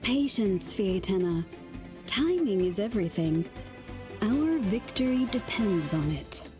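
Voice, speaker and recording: A woman voicing a patient, calm female warrior strategist in a video game, measured and grave. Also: mid-range voice, music in the background, voice pushed down. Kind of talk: dramatic video game voice acting.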